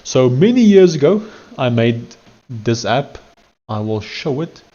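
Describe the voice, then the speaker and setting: A man's voice: mid-range voice, big pitch swings, unhurried, mellow, lo-fi sound. A man from South Africa with an Afrikaans accent, chatting casually to a live-stream audience while he works on screen, rambling and correcting himself as he goes.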